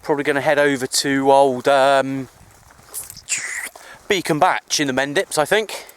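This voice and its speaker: A man's voice: mid-range voice, fairly even intonation, measured pace, clear, resonant tone. A man chatting casually and in a rambling, easygoing way for an outdoor hobby vlog, recorded on a handheld camera in the countryside. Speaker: amateur CB radio hobbyist vlogger.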